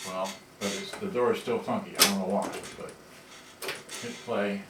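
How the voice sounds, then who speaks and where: A man giving a casual, unscripted demonstration as a repairer, halting and muttering his thoughts aloud as he handles gear. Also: on the low side, steady tone. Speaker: repairer demonstrating cassette players. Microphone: camera on tripod at some distance. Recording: roomy, distant mic, loud background noise.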